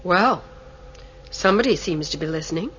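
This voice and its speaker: A woman's voice: lowish voice, expressive, moderate pace, fairly bright tone, muffled recording, slight background noise. An actress playing a commanding, businesslike female starship captain in a radio drama. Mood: wry. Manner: authoritative, businesslike.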